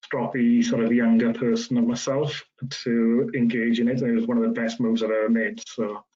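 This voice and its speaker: A man in his late thirties from South Wales, with a South Welsh accent, giving a relaxed, conversational careers talk full of ums. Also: medium pitch. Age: 30s. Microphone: video call. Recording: lo-fi video call audio.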